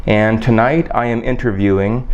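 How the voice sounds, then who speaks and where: Low-voiced male interviewer, lo-fi audio. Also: normal speed. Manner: lively, asks short follow-up questions. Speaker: interviewer and host. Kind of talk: scripted question-and-answer interview. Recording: dull lo-fi audio with faint noise.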